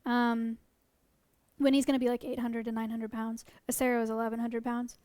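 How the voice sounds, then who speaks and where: A woman in her twenties chatting casually on a livestream, answering questions about sanctuary animals with lots of ums and restarts, while her voice is going hoarse. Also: on the high side, flat delivery, moderate pace, darkish tone, no room sound. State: losing her voice.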